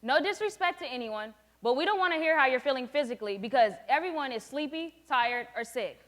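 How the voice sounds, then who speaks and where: A late-teen young woman with a notably high voice presents her project and plays a group facilitator. She explains things firmly, in a lecturing, no-nonsense way. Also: expressive, brisk, a bit bright. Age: late teens. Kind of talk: student presentation with a scripted role-play.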